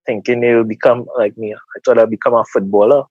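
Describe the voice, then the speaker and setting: Trinidadian man, mid pitch, earnest casual talk, dry muffled recording. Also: steady tone, fast talker, breathy edge, no room sound. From Trinidad and Tobago. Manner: repeats words while reaching for thoughts, earnest. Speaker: guest. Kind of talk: casual motivational interview.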